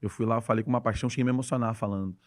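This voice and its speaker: Fast-talking gaúcho man, enthusiastic. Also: on the low side, fairly even intonation. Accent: Gaúcho Brazilian Portuguese. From Rio Grande do Sul, Brazil. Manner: enthusiastic, rapid storytelling. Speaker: podcast guest, dance teacher. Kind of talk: casual interview chat.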